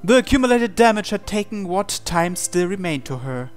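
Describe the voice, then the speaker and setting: Male let's player, slightly high voice, dramatic visual novel narration with offhand asides, music underneath. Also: sing-song, normal speed, clean tone. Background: game music.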